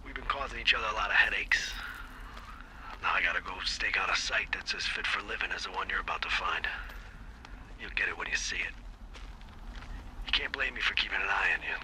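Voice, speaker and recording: A man's voice: sits low, a little fast, long pauses, sharp tone, low-quality recording. An actor playing a bitter, defensive middle-aged recluse and father in a video game, rambling on tape in folksy, profane language. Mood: wry, menacing. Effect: played back from a tape. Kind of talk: taped confession in a video game drama. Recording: taped voice with faint noise.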